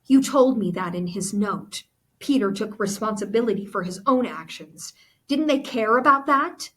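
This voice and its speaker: Storybook girl played by a woman reader: angry, guarded, accusing, high husky voice. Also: expressive, moderate pace, a bit bright. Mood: challenging. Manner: bitter, accusing. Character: bitter, angry, guarded girl narrator of a novel.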